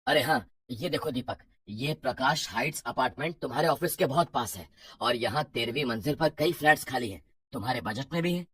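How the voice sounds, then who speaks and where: Woman doing a low-voiced, brisk male broker. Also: lively intonation, fairly bright tone, slightly rough, dry recording, exaggerated voice. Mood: brisk, impatient. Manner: matter-of-fact, bossy, dismissive. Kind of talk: animated horror story dialogue.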